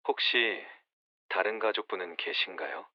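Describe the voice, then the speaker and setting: A man voicing a calm, formal emergency dispatcher heard over the phone in an audio drama. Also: deep voice, normal speed, no echo. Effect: phone line. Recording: dull phone-line sound.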